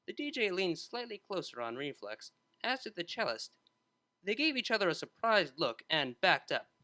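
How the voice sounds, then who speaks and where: Bright, animated story narrator. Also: mid-range voice, normal speed.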